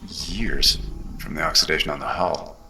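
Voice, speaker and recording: Casting: gruff seasoned sci-fi guide, very low voice, terse orders, cautious. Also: male voice, moderate pace, bright, forceful tone, slight background noise, heavily acted. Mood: commanding, wary. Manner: terse, commanding. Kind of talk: science fiction audio drama acting.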